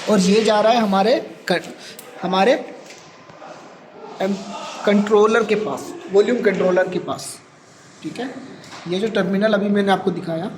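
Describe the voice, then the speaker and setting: A man's voice: high-pitched, a little hiss or hum, low-quality recording. A man presenting a practical tech demonstration step by step, repeating points and mixing English terms into his speech.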